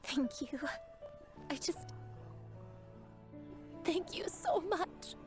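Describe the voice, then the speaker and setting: An actress playing a humble, earnest disciple in a video game, speaking hesitantly and respectfully to a master. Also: female voice, very high voice, very animated, very slow, over music, exaggerated voice. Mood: moved, grateful. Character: humble, earnest female disciple, devoted and grateful. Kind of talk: scripted game character dialogue.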